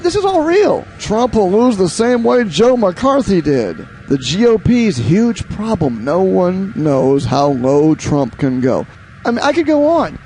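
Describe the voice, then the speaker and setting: A high-voiced American man hosts a political commentary show over some background noise. He sounds incredulous and emphatic, repeating phrases for effect as he reads out headlines and gives his opinion. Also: a little mellow.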